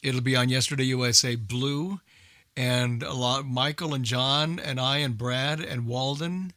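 Bright-voiced man chatting, close and dry. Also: medium pitch, fairly even intonation, measured pace, dry recording. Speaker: old-time radio show regular and guest. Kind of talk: casual group chat.

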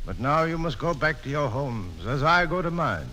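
A man's voice: a little high, sing-song, normal speed. A man playing a noble, defeated general who addresses his soldiers in solemn, stately radio drama oratory. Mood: solemn farewell. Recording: old radio recording, crowd noise behind.

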